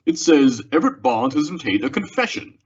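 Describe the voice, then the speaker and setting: An actor playing an excitable male townsman reading the news aloud in an old-time radio western. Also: mid-range voice, fairly quick, breathy edge. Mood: eager, folksy. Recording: dull lo-fi audio.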